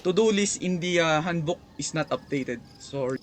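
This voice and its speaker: A man giving casual gameplay commentary, halting as he thinks aloud. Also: fairly high pitch, slight background noise. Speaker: game streamer. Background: game music.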